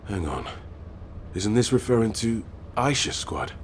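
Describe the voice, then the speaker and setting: An actor playing a serious, inquisitive squad member in a science fiction video game. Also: male voice, lowish voice, big pitch swings, measured pace, slight background noise. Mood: realizing. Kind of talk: video game voice acting, team dialogue.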